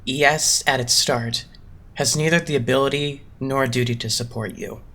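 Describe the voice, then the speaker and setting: A man's voice: mid-range voice, fairly even intonation, normal speed, pushed and bright. Condescending, formal young male boss acted by a man. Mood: cold, blunt. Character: cool, haughty young male idol-agency boss. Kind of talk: anime game fandub acting.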